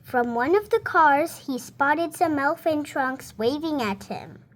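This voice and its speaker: Young girl, around seven, high voice, slow and careful storybook read-aloud. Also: expressive, a bit bright. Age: child, about seven. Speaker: young reader.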